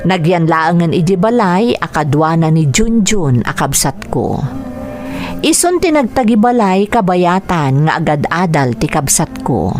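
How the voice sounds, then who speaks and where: A woman's voice: fairly low pitch, animated, measured pace, music in the background. A woman with an Ilocano accent playing a homesick, family-minded young overseas worker in a radio drama. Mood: earnest.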